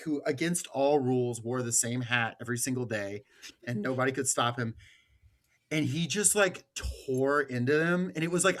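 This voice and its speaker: Male podcast host, average pitch, loose slangy delivery for informal political chat and stories. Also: fairly bright tone. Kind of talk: casual political podcast talk with anecdotes.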